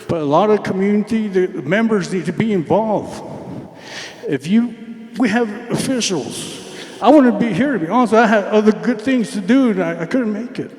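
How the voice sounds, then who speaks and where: An earnest man, higher-voiced than most, speaking up at a community meeting. He presses his questions emphatically and sometimes stumbles over repeated words. The sound rings out in a large, reverberant hall. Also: darkish tone. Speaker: community member raising questions. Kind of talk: public comment at a community meeting. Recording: echoey hall with long reverb.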